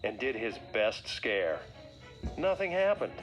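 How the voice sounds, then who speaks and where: A man narrating a scripted read-along story for children in a dramatic, expressive way. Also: on the low side, takes it slowly. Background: music.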